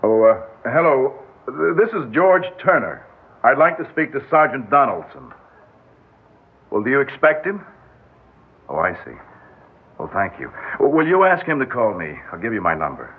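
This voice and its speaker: An actor playing a harried, caring husband on edge, coaxing and wry, in old radio drama style. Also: male voice, medium pitch, sing-song, moderate pace, long pauses, breathy edge. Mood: polite, tense. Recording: tinny, band-limited old radio recording.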